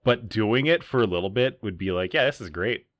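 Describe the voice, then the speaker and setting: A man with a slightly low voice trades offhand, wry comments in a casual podcast chat. The recording is dry and close. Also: expressive, a little fast, fairly bright tone, dry recording, dull audio.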